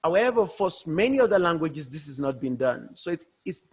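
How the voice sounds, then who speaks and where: Guy w a giving a research talk on a video call, bit higher voice, loose and chatty, keeps rephrasing. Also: big pitch swings, brisk, slightly rough. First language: Yoruba. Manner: informal and loosely explaining, often rephrasing mid-sentence. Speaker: researcher presenting at a workshop. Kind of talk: academic conference presentation.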